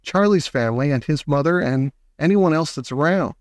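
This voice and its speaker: Storybook role, man performing: kindly, eccentric old inventor, emphatic and brisk, higher-pitched, recorded dry. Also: lively intonation, no echo, close to an everyday voice. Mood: excited, urgent. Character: eccentric, eager old chocolate factory owner, kindly but brisk.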